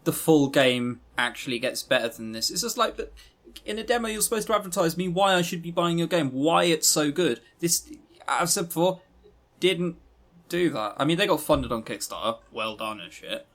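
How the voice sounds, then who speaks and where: A man's voice: a little high, lively intonation, moderate pace, a bit bright. A man co-hosting a podcast, giving blunt, opinionated, sweary reviews in a casual chatty way. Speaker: podcast co-host.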